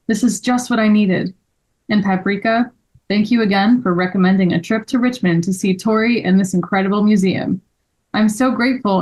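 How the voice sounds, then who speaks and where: A woman's voice: medium pitch, darkish tone, lightly acted, poor audio. A woman playing an earnest, grateful male friend in a table read of a children's story.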